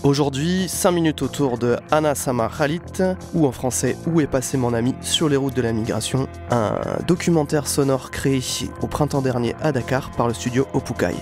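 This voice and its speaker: Mid-pitched male radio host. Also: normal speed, hardly any pauses, over music. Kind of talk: radio presenting and interviewing.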